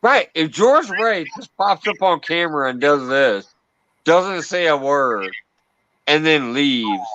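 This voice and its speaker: Lively laughing stream host. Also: male voice, a little high, slow, dry recording. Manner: lively, laughing, coaxing guests. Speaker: livestream host. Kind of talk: casual livestream chat. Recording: dull, lo-fi stream audio.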